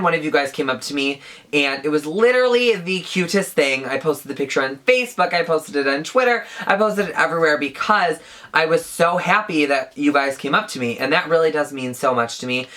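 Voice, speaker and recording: A woman's voice: sits low, a bit bright. A woman in her twenties chatting casually in a Q&A vlog, saying "like" often. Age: 20s. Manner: chatty, says "like" a lot. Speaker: beauty YouTuber.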